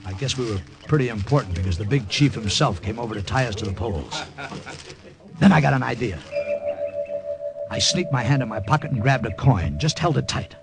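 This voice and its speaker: An actor with an American accent playing a wisecracking soldier hero who narrates and acts in a vintage radio drama. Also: male voice, medium pitch, animated, a little fast, vocal fry. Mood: tense, vivid. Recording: old radio recording with music behind.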